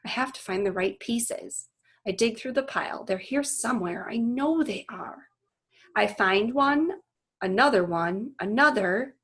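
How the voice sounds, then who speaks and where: A woman's voice: a little high, lively intonation, measured pace, a bit bright, slightly rough, heavily acted. A woman voicing an earnest, anguished male gorilla who narrates his own storybook tale in short, emphatic phrases. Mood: urgent.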